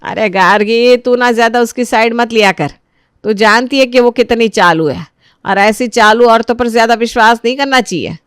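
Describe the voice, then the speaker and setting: A woman puts on a bossy older mother-in-law from a family storybook drama. The voice is high and loud, full of suspicion. The recording is close and dry, with no room sound. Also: normal speed, clear, resonant tone, no room sound, natural voice. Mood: suspicious, scolding.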